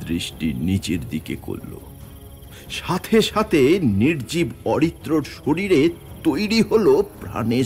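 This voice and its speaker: A man narrating a horror story in the first person, with suspenseful, theatrical, deliberate phrasing over music. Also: medium pitch, darkish tone.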